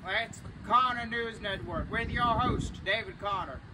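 A man's voice: high voice, unhurried. A young man playing a folksy, blustering American wartime reporter in a parody news report, with a drawling delivery. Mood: folksy, boastful. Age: young adult. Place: open urban field outdoors. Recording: noisy outdoor recording with traffic.

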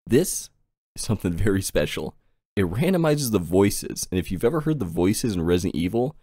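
Low-voiced, animated man commentating casually. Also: no room sound, clean studio sound. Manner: amused, giggly, easygoing. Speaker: game streamer, channel host. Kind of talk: casual profane gameplay commentary. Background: game music.

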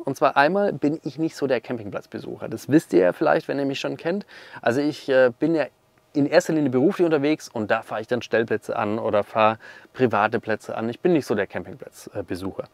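A man in his thirties reviewing a campsite in a relaxed, chatty way, talking from inside his camper van with a clip-on mic. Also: mid-range voice, dry recording. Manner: chatty, animated, gesturing with hands. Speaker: camping vlogger. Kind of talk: casual review vlog with voice-over. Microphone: small clip-on mic.

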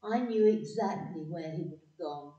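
A woman's voice: on the low side, moderate pace, warm tone, natural voice. A woman playing a wistful narrator looking back in scripted audio-drama narration. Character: wistful woman narrator remembering a troubled young love. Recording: dull, echoey, distant microphone.

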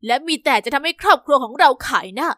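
A cold, harsh stepmother from a spoken story, scolding in a loud, cutting voice, as a woman narrator puts her on. The voice sits high and bright, and the recording is dry and close. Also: animated, fast talker, dry recording.

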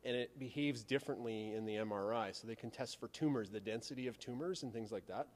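A man's voice: medium pitch, fast talker. A man in his thirties explaining science informally and emphatically, like an enthusiastic teacher in an interview. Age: 30s. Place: large school science classroom. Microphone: handheld reporter microphone. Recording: long echo of a large room.

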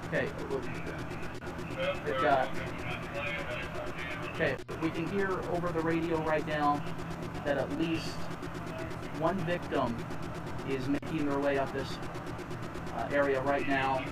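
A man giving unscripted live on-scene news commentary outdoors, speaking haltingly and repeating himself as he pieces facts together. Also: on the high side, steady tone, over loud music. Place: outdoors on a city street. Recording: outdoor live stream, distant mic, radio behind.

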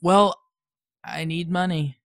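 A woman playing an exasperated, scheming, foul-mouthed teenage boy in an audio drama, animated and sarcastic. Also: lowish voice, slow pace, no room sound, studio quality.

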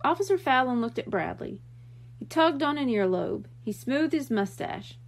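A woman narrates a children's story in a high voice. Her pitch moves around a great deal, giving the scripted reading a lively, expressive storytelling feel. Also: moderate pace, creaky.

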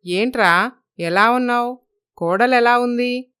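A woman playing a wistful ageing mother-in-law in a moral story, smooth-voiced and plaintive, longing for her children. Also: a little high, slow, a bit bright, dry recording, studio quality.

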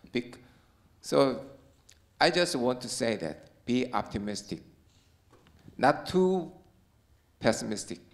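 Middle-aged Korean man on a panel, slow and gravelly, halting non-native English. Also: mid-range voice. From South Korea. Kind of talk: panel discussion. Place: conference stage in a hall. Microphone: handheld mic.

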